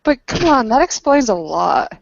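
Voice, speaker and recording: A woman's voice: a little high, very animated, moderate pace, gravelly, slight background noise. A woman chatting casually as a player in a tabletop roleplaying game. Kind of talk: casual chat during a game. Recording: dull, close lo-fi recording.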